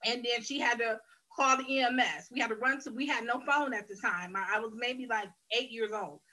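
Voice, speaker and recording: A woman's voice: fairly high pitch, fairly quick, sharp tone, low-quality recording. A woman, a guest on a faith interview, telling her life story earnestly and candidly, often restarting her phrases. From Detroit, Michigan, USA. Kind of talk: personal testimony in a faith interview.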